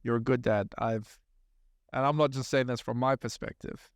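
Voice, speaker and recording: A man in his thirties with a New Zealand accent speaks at a middle pitch with a gravelly edge, earnest and reflective as he asks probing questions. The recording is close and dry, right at the mic. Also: steady tone, normal speed, dry recording. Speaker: podcast host. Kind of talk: casual interview chat.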